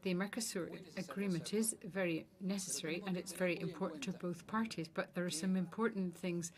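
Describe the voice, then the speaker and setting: Female conference interpreter, slightly low register, halting phrase-by-phrase delivery, clear murmur of voices behind. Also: fairly even intonation. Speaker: simultaneous interpreter. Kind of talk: live interpreting of formal meeting speeches. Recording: loud crowd murmur behind.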